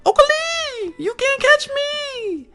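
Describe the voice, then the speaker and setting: Tiny teasing storybook blackbirds. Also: male voice, lively intonation, very slow, pushed and bright, faint music behind, exaggerated voice. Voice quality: very high, squeaky. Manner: taunting, sing-song.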